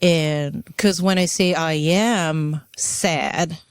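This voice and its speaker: A woman's voice: low-pitched, fairly even intonation, very slow, clear, resonant tone, dry recording. Woman, dry close sound. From Brazil. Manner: reflective, searching for words, many fillers. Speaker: podcast co-host. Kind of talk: casual spiritual chat.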